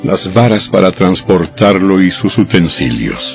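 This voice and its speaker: A man reading scripted scripture as an audio Bible narrator, solemn and measured, in a dramatized storytelling style. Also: low voice, big pitch swings, vocal fry. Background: music.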